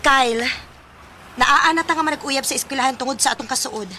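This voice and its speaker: A feisty, teasing college-age friend in a Filipino radio drama. Her Cebuano-accented voice is high and sharp, with ambient noise behind it. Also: brisk. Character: feisty, proud, teasing young female student friend.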